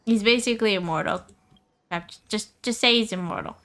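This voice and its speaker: Bright-voiced woman streaming games. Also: medium pitch, normal speed, creaky. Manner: chatty, repeats phrases for emphasis. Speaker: live streamer. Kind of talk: casual gaming stream chat.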